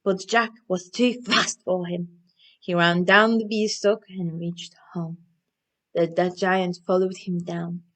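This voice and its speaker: A woman presenting stories and crafts to young children in an animated, encouraging way, often asking them questions. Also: fairly low pitch, slow, rough recording. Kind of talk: interactive children's storytelling and instruction.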